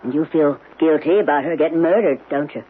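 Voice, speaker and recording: Nosy male acquaintance, husky, folksy, insistent questioning, radio play, tinny recording. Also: a little high, fairly quick. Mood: probing. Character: blunt, probing male acquaintance giving advice. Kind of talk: radio drama acting.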